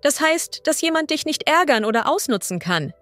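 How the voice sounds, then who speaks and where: A woman as a language teacher reading idioms and vocabulary slowly and clearly. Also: high voice, sing-song, a bit bright, no room sound, soft background music. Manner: slow, clear and deliberate.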